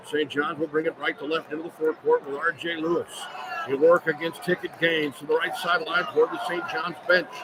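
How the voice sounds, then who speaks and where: A man calling live basketball on the radio in clipped running phrases, getting excitable on big plays. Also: a little high. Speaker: play-by-play radio announcer. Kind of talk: live basketball play-by-play commentary. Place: courtside at a large indoor arena. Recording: loud arena noise behind the voice. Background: loud game ambience.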